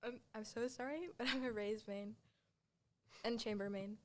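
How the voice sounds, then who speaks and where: Woman co-host, quick playful answers, faint background noise. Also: a little high, steady tone. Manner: short, playful replies. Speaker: podcast co-host. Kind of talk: casual gaming podcast chat.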